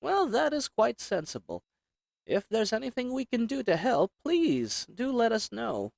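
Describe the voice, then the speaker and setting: A man's voice: very high-pitched, big pitch swings, a little slow, vocal fry, darkish tone, no echo, clean studio sound, voice pushed up, exaggerated voice. A man voicing a polite, meek storekeeper in a roleplay audio drama, courteous and hesitant in manner. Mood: polite, helpful. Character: polite, meek storekeeper, an assassin's flirty disguise.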